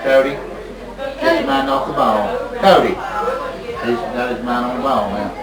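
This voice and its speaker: Guy w lowish bouncy voice, playful chatting, far from mic, echoey hall, really loud crowd. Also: sing-song, measured pace. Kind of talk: playful casual chat, coaxing someone.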